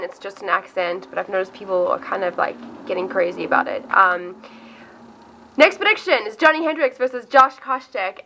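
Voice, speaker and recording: A chatty, upbeat woman from South Africa whose accent drifts between South African and American. Her voice is bright and forward, and she talks casually to camera, often breaking off her own sentences. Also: medium pitch, slight background noise, rough recording. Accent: mixed South African and American. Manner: chatty, upbeat, self-interrupting. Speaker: MMA video host. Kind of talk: casual fight predictions to camera.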